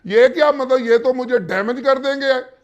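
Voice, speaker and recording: A man's voice: very high voice, moderate pace. A man with a Pakistani accent arguing on a phone call, protesting and complaining in an incredulous, exclamatory way. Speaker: prank call recipient. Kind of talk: animated phone argument in conversational Urdu.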